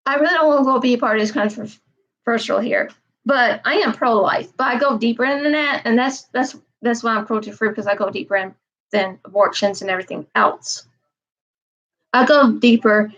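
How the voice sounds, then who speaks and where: A woman's voice: a little high, moderate pace, fairly bright tone, dull audio. A woman in her twenties chatting casually on a live stream, wandering and repeating herself. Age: 20s. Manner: meandering, repeats herself, frequent "um". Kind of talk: rambling casual live chat.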